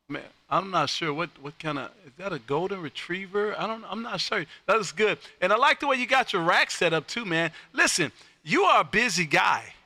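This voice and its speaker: A loud, exuberant man hosting a casual amateur radio stream, chatting and calling, repeating himself for emphasis. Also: fairly high pitch. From Texas. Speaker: amateur radio operator and live stream host.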